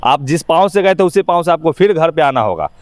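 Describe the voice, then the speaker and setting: Emphatic Bihari man, higher-pitched voice. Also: expressive, fairly quick, no echo. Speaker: interview guest, actor. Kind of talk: opinionated informal interview talk.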